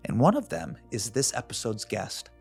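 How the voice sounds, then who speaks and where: A man in his forties hosting a show, moving between comedic monologue and interview with a quick, playful, punchline-driven delivery. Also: medium pitch, sing-song, vocal fry, a little mellow, soft background music. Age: 40s. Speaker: host.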